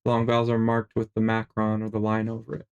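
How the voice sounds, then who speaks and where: Man, middle-aged, language teacher voice-over, even and flat, resonant, relaxed with lots of ums. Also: fairly low pitch, brisk, clean tone, highs cut off. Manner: relaxed, with many fillers and restarts. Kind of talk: slideshow lesson voice-over.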